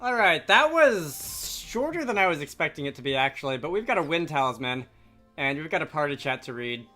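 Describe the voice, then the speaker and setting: A man's voice: on the high side, sing-song, fairly quick, pushed and bright. A man doing casual let's play commentary with wry, rambling asides. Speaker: video game let's player. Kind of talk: casual gameplay commentary mixed with reading dialogue aloud. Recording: game music behind. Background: video game music.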